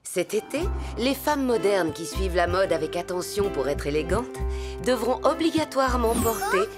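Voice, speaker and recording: A woman doing tv fashion lady, very up and down, emphatic and fussy, loud music. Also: medium pitch, very animated, normal speed, natural voice. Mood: grandly instructive. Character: affected, authoritative female television fashion expert. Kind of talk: fashion TV commentary in a cartoon.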